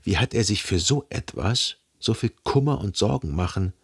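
Literary radio drama: contemplative, lovelorn narrator, measured delivery, raspy with heavy vocal fry. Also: male voice, lowish voice, expressive. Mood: wry reflection. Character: reflective, lovelorn literary narrator recalling his own past.

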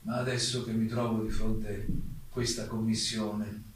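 A man playing an exiled German playwright giving a formal defence statement in a solemn, deliberate, rhetorical stage delivery. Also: lowish voice, little pitch movement, lightly acted. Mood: firm, measured. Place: theatre stage, recorded from afar. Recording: very echoey distant stage recording, faint noise.

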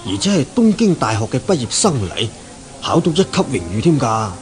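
A man's voice: medium pitch, very animated, brisk, lightly acted. Man playing a fawning, swooping nightclub manager. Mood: eager, fawning. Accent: Hong Kong Cantonese. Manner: servile, ingratiating, chuckling. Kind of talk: 1970s radio drama acting. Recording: old radio recording, music behind.

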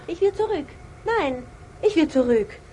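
A woman's voice: very high voice, lively intonation, measured pace, dark tone, a little hiss or hum. An actress playing a cheeky, feisty young woman, insistent and mocking, in a radio drama.

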